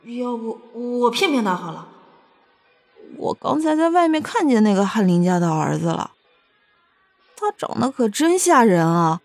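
A woman plays a naive, devoted young girl of a martial sect in a period audio drama. Her voice is high, and she pleads artlessly, referring to herself by name like a child. Music plays behind her. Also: sing-song, normal speed, no echo. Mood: scheming. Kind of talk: Chinese costume audio drama acting.

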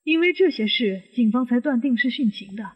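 An actress playing a suspicious middle-aged aunt who questions and reasons step by step in a Chinese audio detective drama. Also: female voice, fairly high pitch, animated, brisk, slightly rough. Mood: suspicious, probing. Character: inquisitive, suspicious middle-aged aunt probing a supposed suicide. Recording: muffled, long hall reverb.

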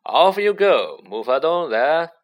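A man's voice: on the high side, big pitch swings, slow pace, dull audio, voice pushed up. A man voicing a vain, jovial celebrity teacher, pompous, hearty and patronising, in a storybook reading. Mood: booming, jovial.

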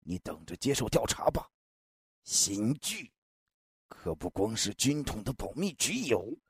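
A man playing an aggressive, accusing spy official in a radio drama, raspy and forceful. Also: on the low side, big pitch swings, a little slow, no room sound, clean studio sound, heavily acted. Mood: furious, threatening. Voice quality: very raspy, husky. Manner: forceful, threatening. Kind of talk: Chinese radio drama acting.

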